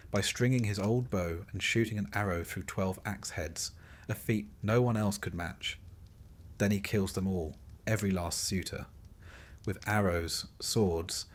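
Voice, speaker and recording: A man narrating a scripted sleep story as a storyteller, in measured, literary phrasing with short dramatic pauses. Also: deep voice, slightly rough.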